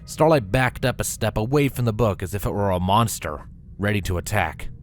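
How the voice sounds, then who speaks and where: A man narrating a scripted story with a dramatic, expressive delivery. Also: on the low side, a little fast.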